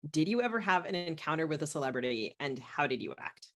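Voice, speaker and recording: A woman with a low-pitched voice hosts a literary interview podcast. She talks conversationally, moving between chat and framing questions. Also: big pitch swings, quick pace. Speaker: podcast host.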